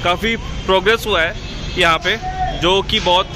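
A man's voice: sits high, moderate pace. A man with an Indian accent narrating casually on site as a vlogger, repeating himself and mixing in English words. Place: outdoor construction site beside a busy road. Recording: noisy outdoor street with heavy traffic. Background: traffic and city ambience.

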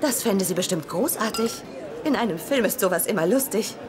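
An actress playing an easygoing young reporter with a dry, joking manner, in a dubbed sitcom. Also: female voice, medium pitch, expressive, brisk, a crowd in the background, lightly acted. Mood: wry, friendly. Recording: music behind TV dialogue.

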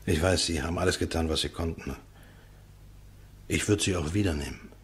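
An actor playing a resigned condemned prisoner in a German radio drama, speaking in a halting, subdued, very husky voice. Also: male voice, low-pitched. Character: resigned condemned prisoner protesting his innocence, grateful.

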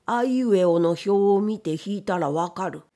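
A woman voicing a stern, brooding blind old gentleman, with slow, old-fashioned, commanding speech in a storybook reading. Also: high voice. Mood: commanding, gruff.

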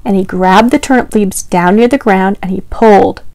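A woman's voice: mid-range voice, moderate pace, a little mellow, no room sound. A young woman telling stories to small children as a storytime host, with exaggerated, encouraging delivery aimed straight at the listeners. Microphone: clip-on lapel mic.

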